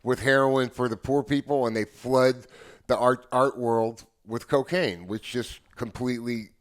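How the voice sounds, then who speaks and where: A man in his seventies in casual conversation, with an ordinary mid-range male pitch. He speaks haltingly, doubling up words and phrases before he finishes a thought. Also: steady tone. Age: 70s. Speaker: podcast guest, house DJ.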